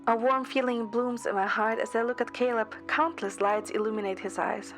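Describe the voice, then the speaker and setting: A woman's voice: a little high, steady tone, normal speed. A woman reading scripted first-person story narration as an amateur narrator. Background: background music.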